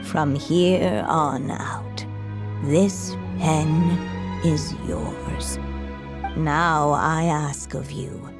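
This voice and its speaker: A woman playing a gentle, solemn storytelling witch, the magical echo of a dead mother, in a scripted game drama. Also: deep voice, steady tone, gravelly, heavily acted. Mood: solemn, tender. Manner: solemn, measured, tender storyteller. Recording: music behind the voice.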